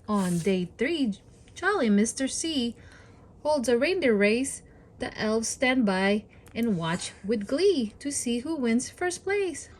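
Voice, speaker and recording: A woman with a fairly high voice reads a children's storybook aloud. She takes her time, with very slow, deliberate phrasing. Her delivery is expressive and encouraging, pitched for young listeners.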